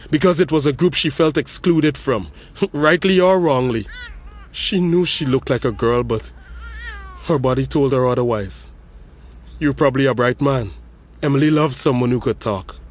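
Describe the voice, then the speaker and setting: A man's voice: medium pitch, natural voice. A young man, playing a brother who delivers an intense, accusing eulogy at a funeral in an audio drama. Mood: cold.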